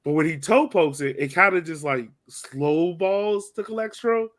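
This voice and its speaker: A man's voice: on the high side, measured pace. Man chatting casually about sports. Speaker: podcast host. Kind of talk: casual sports podcast chat, match recap.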